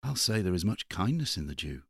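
A man voicing a wry, observant gentleman from a novel in an audiobook. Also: low-pitched, very animated, fairly quick, slightly rough, dry recording, clean studio sound, pitched above the performer's own voice, heavily acted. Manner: elegant, wry.

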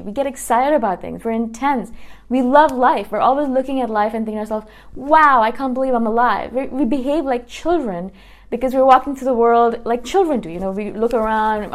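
A woman in her thirties, a YouTuber talking straight to her viewers in a casual monologue, earnest and full of restarts and "right?" checks. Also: fairly high pitch. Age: 30s. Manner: earnest, repeats words and restarts often, asks "right?".

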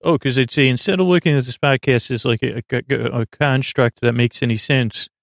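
Guy with a creaky fry voice doing a silly sleep podcast, keeps wandering off and tripping over words, really dry sound. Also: mid-range voice, no room sound. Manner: meandering, halting, self-interrupting with tangents and stammers. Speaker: podcast host. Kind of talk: rambling, silly bedtime podcast monologue.

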